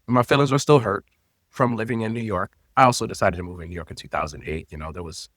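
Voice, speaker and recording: A man in his late thirties, a native Haitian Creole speaker, telling his story in an interview in an expressive, personal way with loose phrasing. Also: fairly low pitch, quick pace, no echo, clean studio sound. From Florida, United States. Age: late 30s. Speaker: guest, intimacy coordinator and teacher.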